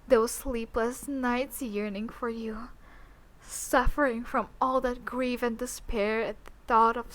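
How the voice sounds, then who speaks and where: A possessive young yandere, played by a woman, speaks straight to the listener in an intimate audio roleplay. Her voice is fairly high and very slow, lingering on phrases. She drops into breathy half-whispers, then swells with obsessive intensity. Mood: possessive, elated.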